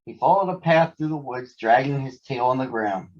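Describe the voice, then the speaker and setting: A man's voice: medium pitch, moderate pace, a little mellow, dull audio. A man narrating a children's story from a script as a storybook reader.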